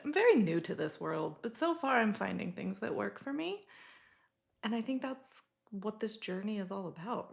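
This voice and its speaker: A woman's voice: mid-range voice, creaky. A woman co-hosting a casual book chat podcast in a playful, exclamatory way. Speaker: podcast co-host.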